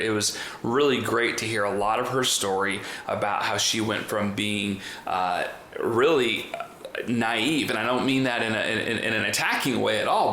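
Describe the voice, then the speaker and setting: A man's voice: mid-range voice, fairly bright tone. A man hosting a conversational podcast interview, talking in a chatty, wordy way. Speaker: podcast host and trainer. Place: indoor room in the US. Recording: roomy echo, faint background noise.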